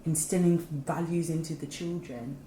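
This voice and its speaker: Deep, warm-voiced female co-host. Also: steady tone, moderate pace. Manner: chatty, long winding questions. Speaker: podcast co-host. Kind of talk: interview questions. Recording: echoey room, faint noise.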